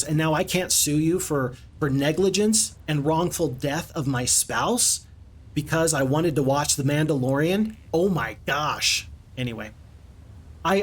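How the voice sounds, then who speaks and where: A man casually commenting on his strategy game stream, rambling and chatting to viewers. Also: on the high side. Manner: chatty, rambling, reads viewer chat aloud.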